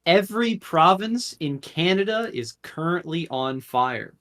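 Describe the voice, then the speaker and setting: A man co-hosting a casual podcast, with sarcastic deadpan asides and some swearing. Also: on the high side, a little slow. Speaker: podcast co-host. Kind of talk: casual chat.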